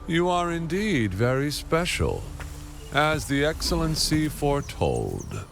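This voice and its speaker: Slow, sneering villain, voice swooping all over, music under it. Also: male voice, wildly swooping pitch, gravelly, full character voice. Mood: gloating. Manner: taunting, theatrical swings in pitch. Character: scheming male cultist villain, mocking. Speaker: villain in a game cutscene. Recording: game score underneath.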